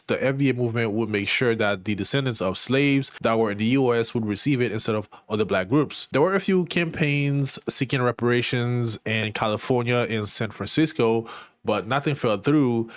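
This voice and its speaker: A man's voice: mid-range voice, no echo. Man from Haiti, dry close commentary. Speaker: commentary channel narrator. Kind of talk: measured explanatory commentary.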